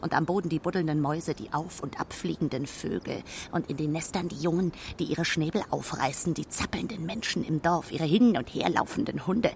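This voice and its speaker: A woman's voice: sits low, a little fast, gravelly, hardly any pauses, a little mellow, slight background noise. A woman playing a haughty, aloof female hawk in a children's radio play, speaking in a brusque, proud way. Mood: proud, boasting.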